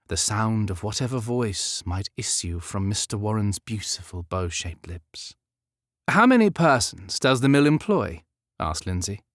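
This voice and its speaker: Low-voiced man narrating a novel, dry sound. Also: dry recording. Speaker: audiobook narrator. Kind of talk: scripted literary narration of a historical romance.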